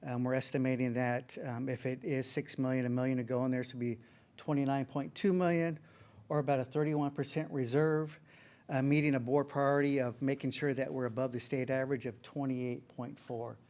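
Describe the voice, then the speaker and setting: A man presenting at a board meeting, speaking in a relaxed, chatty way with frequent ums. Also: medium pitch, a little fast. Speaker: finance administrator presenting to a board.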